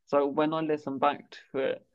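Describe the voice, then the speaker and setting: A man's voice: fairly high pitch, little pitch movement, moderate pace. A man with a stammer who often repeats words and says "like", hosting a conversation as a campaigner. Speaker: campaigner and podcast host. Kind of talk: conversational interview chat. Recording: dull lo-fi sound.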